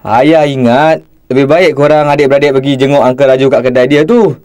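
A man's voice: mid-range voice, fairly even intonation, fairly quick, a little mellow, lightly acted. An actor playing a kindly, modest middle-aged father in a cartoon, considerate and fatherly. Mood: instructing. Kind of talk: cartoon voice acting.

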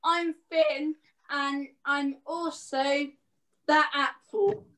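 Slow, drawn-out amateur panto performer. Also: high-pitched, little pitch movement, pushed and bright. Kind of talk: amateur pantomime introductions and jokes. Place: living room at home.

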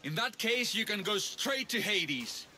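An actor playing a cheeky, excitable priest of an ancient Greek bathhouse in a video game. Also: male voice, sits high, unhurried, full character voice. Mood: dismissive, snide. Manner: sarcastic, excitable, theatrical. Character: cheeky, panicky male sanctuary bathhouse priest in ancient Greece. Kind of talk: video game character acting.

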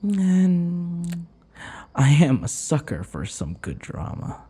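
Man playing a husky, breathy, possessive vampire. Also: a little high, very animated, warm tone, exaggerated voice. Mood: smug, menacing. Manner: slow, mock-tender, taunting, often dropping to whispers. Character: teasing, possessive five-century-old male vampire captor. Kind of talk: ASMR boyfriend roleplay, intimate dramatic monologue.

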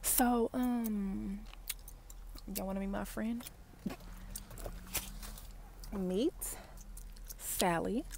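A woman, chatting casually in a parked car for an ASMR video, often dropping to soft whispers, recorded on a wired earbud mic. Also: medium pitch, steady tone, extremely slow, vocal fry. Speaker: ASMR vlogger. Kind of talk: ASMR gum-chewing car chat. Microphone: wired earbud mic held near mouth. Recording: faint background noise, mouth clicks.

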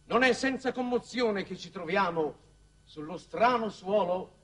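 Pompous statesman speechifying, super slow, swoopy high voice, far off hissy 60s tv drama. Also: lively intonation. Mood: solemn. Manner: pompous, halting grand oratory. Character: pompous male statesman making a solemn official speech. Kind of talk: 1960s Italian TV drama, oratory. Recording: distant, noisy old 1960s TV soundtrack.

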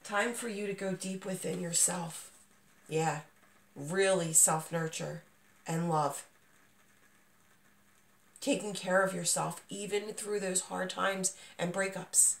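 A woman's voice: lowish voice, steady tone, slow, vocal fry, long pauses. A woman giving a casual, unscripted tarot reading, reassuring and speaking straight to the listener, with a voice not at full strength as she gets over being sick. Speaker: tarot reader, channel host. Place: indoors, small dim room at a table. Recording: roomy echo, distant microphone.

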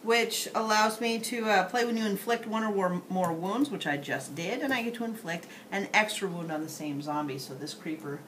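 A woman's voice: mid-range voice, moderate pace, hardly any pauses, fairly bright tone, roomy sound, a little hiss or hum. Middle-aged woman talking through her game moves. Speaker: card game player. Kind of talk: casual play, narrating own moves. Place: small room at a wooden table, indoors. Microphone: handheld camera across the table.

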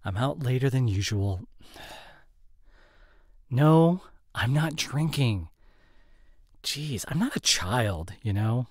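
Guy playing defensive drinker boyfriend in audio roleplay, slow hesitant talk, pitch all over the place. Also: no room sound, clean studio sound. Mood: defensive, evasive. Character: evasive drinking boyfriend, dismissive then guilt-stricken. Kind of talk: audio roleplay acting, one-sided conversation.

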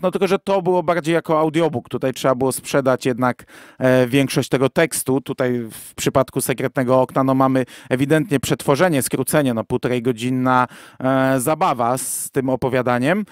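A man's voice: fairly high pitch, smooth, no echo, studio quality. A man co-hosting a podcast in a casual review discussion, hesitant and often correcting himself as he goes. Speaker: podcast co-host. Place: virtual studio, remote recording.

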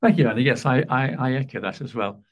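A man's voice: mid-range voice, fast talker. English man chairing a board meeting. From England. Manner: courteous, lightly self-deprecating.

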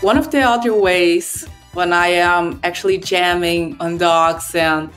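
A woman's voice: on the low side, measured pace, faint music behind. A young woman whose first language is Brazilian Portuguese, chatting casually on a video call from home about her work tools. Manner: relaxed, conversational, frequent "like" fillers. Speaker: developer relations guest. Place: home room.

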